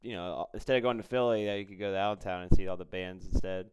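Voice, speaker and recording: A man's voice: low-pitched, steady tone, quick pace, background noise. An American man hosting a casual interview, chatting loosely and chiming in with encouraging reactions. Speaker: podcast host interviewer. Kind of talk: casual chat.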